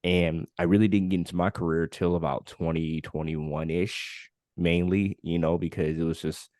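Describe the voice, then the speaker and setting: A young man in his late twenties with a very deep voice talks through his own story on a podcast. He speaks casually and candidly, leaning on filler words as he goes. The sound is dry and close, with no room around him. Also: flat delivery, moderate pace, dark tone, no room sound. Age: 20s. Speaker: podcast co-host. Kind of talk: casual conversational podcast chat.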